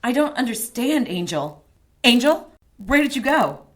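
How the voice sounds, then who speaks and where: A woman's voice: on the high side, big pitch swings, measured pace, slight background noise. A woman playing a down-to-earth, sceptical ally of a detective, blunt and wry, in a radio drama. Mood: doubtful, exasperated.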